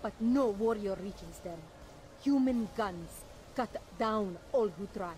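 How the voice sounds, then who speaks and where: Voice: medium pitch, slow, a little mellow, a little hiss or hum, close to an everyday voice, rough recording. A stern alien warrior, acted out. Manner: stern, formal broken speech. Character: proud Na'vi warrior, bitter and honorable.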